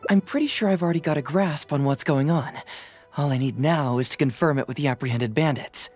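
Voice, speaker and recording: An actor voicing a confident, composed young detective in a video game, breezy and probing. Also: male voice, a little high, brisk, a little mellow, faint music behind, close to an everyday voice. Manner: self-assured, probing.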